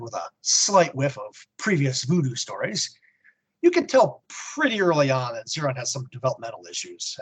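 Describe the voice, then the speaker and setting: A man's voice: medium pitch, a bit bright, slightly rough, low-quality recording. A man hosting a podcast, speaking in a dramatic scripted style as he narrates and reviews. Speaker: podcast host.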